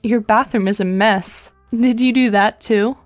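A woman voicing a dry, precocious teenage girl in a web comic dub, speaking in a measured, formal, deadpan way. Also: a little high, soft background music, close to an everyday voice. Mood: dry, needling.

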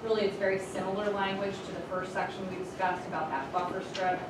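Flat-voiced woman explaining zoning rules. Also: mid-range voice, fast. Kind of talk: public meeting presentation. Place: township meeting room. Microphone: far camera. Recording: echoey room from far off, noisy.

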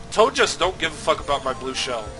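Male let's-play host, stuffy from a cold, crisp bright tone, casual and foul-mouthed, prone to shouting. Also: a little high. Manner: excitable, swears a lot, loud outbursts. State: a little sick, slightly nasal. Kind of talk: casual gameplay commentary.

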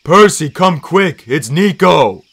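Man doing a loud, high, childlike cyclops. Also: lively intonation, slow, vocal fry, husky, dry recording, exaggerated voice. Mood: urgent. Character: childlike young male cyclops, eager and excitable.

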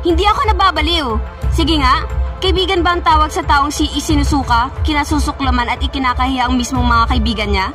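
A young woman acts out a cold teenage ringleader in a student radio play. Her voice is high and sneering, made to threaten and intimidate, with music behind it. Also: brisk, close to an everyday voice. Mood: angry, accusing. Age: young adult. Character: Kate, cold menacing teenage schoolgirl ringleader. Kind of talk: student radio drama acting.

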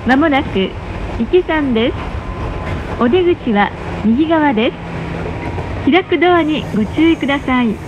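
A woman's very high voice delivers scripted announcements on a train. She is polite and formal, enunciating each phrase in a measured way. The sound is dull and muffled, with clear rumbling train noise behind it. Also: lively intonation. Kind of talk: pre-recorded scripted public announcement. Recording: muffled, noisy onboard train speaker recording. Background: rumble of a moving train.

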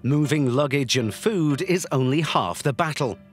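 Man narrating a documentary with lively pitch. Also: normal speed. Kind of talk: scripted narration. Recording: music under the voice. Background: background music.

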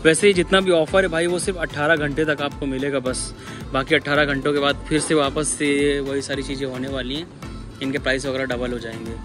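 North Indian man, even-toned vlogger, music behind. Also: a little high, fairly quick. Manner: repetitive, addresses viewers as buddies. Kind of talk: casual walk-through shopping vlog commentary. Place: large busy supermarket, indoors. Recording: background music over store vlog audio.

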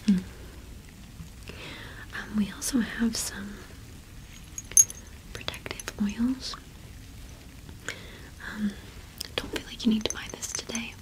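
A young woman doing an ASMR shopkeeper roleplay in a gentle, unhurried whisper with pauses, close to the microphone. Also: mid-range voice, little pitch movement, low-quality recording. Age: young adult. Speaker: ASMR performer playing a cottage witch shopkeeper.

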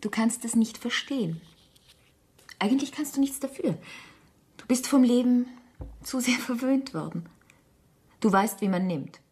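Composed, quietly candid wife. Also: female voice, on the high side, lively intonation, unhurried, pauses often. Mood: reproachful, tender. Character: composed, candid wife leaving her rich husband. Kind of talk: German radio drama acting.

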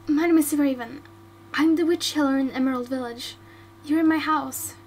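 A woman voicing a calm, blunt female witch healer in an amateur audio drama. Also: sits high, moderate pace, rough recording. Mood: weary.

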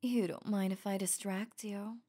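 A woman voicing an affectionate, teasing, possessive werewolf lover in intimate ASMR roleplay, soft and breathy, often slipping into a whisper. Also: mid-range voice, fairly even intonation. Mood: sultry, playful. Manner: slow, sultry, playful murmuring.

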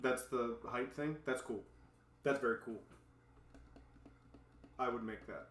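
A man's voice: mid-range voice, unhurried, fairly bright tone, reverberant, slight background noise. A man in his thirties, a father co-hosting his child's gaming video, making playful teasing dad jokes in casual chat at home. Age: 30s. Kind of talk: casual chat while watching gameplay. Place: home room, indoors.

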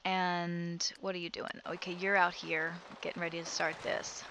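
A woman's voice: fairly low pitch, little pitch movement, normal speed, background noise. A woman casually commenting as she plays a life-simulation game, thinking aloud and giving her characters directions. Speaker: let's play host.

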